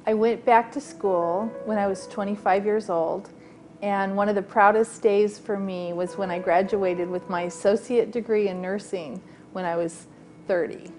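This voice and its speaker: A woman's voice: medium pitch, steady tone, moderate pace, a little mellow, faint music behind, low-quality recording. A woman who works as a nurse, from California, speaking in a heartfelt way in an interview. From Southern California, US. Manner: heartfelt, laughs easily. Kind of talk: reflective interview testimony.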